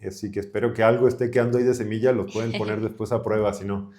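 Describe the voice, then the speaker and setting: A man's voice: lowish voice, steady tone, fast, a little mellow. A man of thirty-five from Chile, a priest talking colloquially as a podcast guest. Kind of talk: conversational podcast interview.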